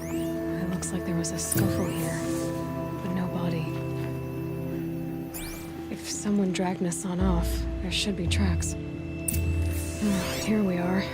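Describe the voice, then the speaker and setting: A woman's voice: fairly low pitch, steady tone, slow, long pauses, over loud music. An actress playing a sceptical, determined young female tracker in a video game. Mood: focused.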